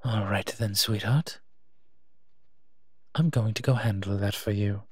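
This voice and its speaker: Man acting a slow, husky monster detective. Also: lowish voice, no echo, clean studio sound. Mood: soft, wry. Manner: slow, teasing, tender endearments. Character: teasing monster detective in human form, protective and gentle. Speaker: monster-hunting detective in an audio roleplay. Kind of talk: intimate scripted audio roleplay acting.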